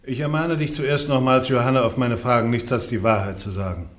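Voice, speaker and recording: An actor playing a formal, scholarly theologian who questions a prisoner with measured insistence in a radio play. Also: male voice, medium pitch, fairly even intonation, close to an everyday voice. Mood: probing, strict. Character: probing, scholarly male theologian interrogating a prisoner. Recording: echoey room.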